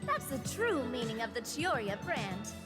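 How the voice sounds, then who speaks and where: A woman voicing a cute, eager, girlish cat-spirit helper in a video game, chirpy and excitable. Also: a little high, moderate pace. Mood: showy excitement. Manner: chirpy, excitable, showy announcing. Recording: loud music behind the voice.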